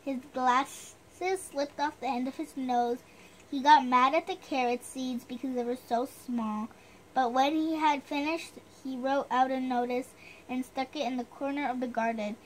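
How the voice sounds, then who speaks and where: High-voiced woman reading a storybook. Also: steady tone, moderate pace, a little hiss or hum, rough recording. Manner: chatty, self-deprecating, stumbles over words while reading. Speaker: host reading a storybook aloud. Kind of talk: storybook reading with casual asides.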